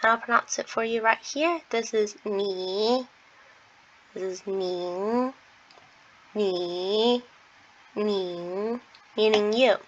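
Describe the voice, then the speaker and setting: A woman's voice: medium pitch, extremely slow, pauses often. A woman teaching a beginner lesson, speaking patiently and demonstrating sounds over and over. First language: English. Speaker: language teacher. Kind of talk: slow explanatory lesson with pronunciation drills. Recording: dull lo-fi home recording.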